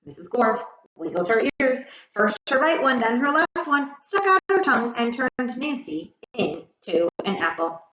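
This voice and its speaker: A woman narrating a children's story aloud in an expressive, dramatic, carefully enunciated way. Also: fairly high pitch, measured pace, slight background noise. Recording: echoey room, slightly muffled sound.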